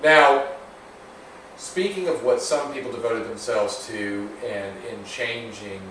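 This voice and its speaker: A man's voice: mid-range voice, slow pace, fairly bright tone. A man in his thirties hosting a casual podcast chat, talking in an earnest, motivational, speechifying way. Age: 30s. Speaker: podcast host. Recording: echoey room, mic at a distance, noisy.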